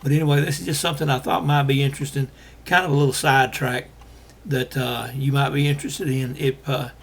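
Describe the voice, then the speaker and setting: An older man from Alabama talks to his viewers in a hoarse, gravelly voice that keeps breaking into fry. His delivery is halting, full of pauses and restarts, and his voice sounds husky and strained. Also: mid-range voice, little pitch movement. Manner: halting, many fillers and restarts. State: losing his voice. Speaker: host. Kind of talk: casual monologue to viewers.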